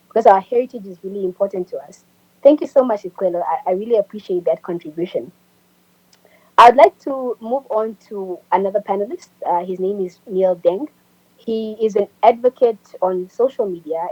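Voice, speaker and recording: A husky, mellow woman moderates an online panel. She is measured, formal and appreciative, and the call sounds dull and lo-fi. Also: mid-range voice, dry recording. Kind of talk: hosting an online panel discussion.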